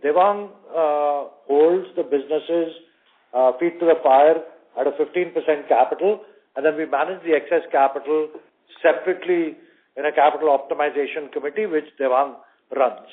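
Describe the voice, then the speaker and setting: A man's voice: fairly high pitch, normal speed. A male bank executive answering on an earnings call in a conversational way, checking in with tag questions. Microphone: phone conference call. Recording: tinny narrowband phone line.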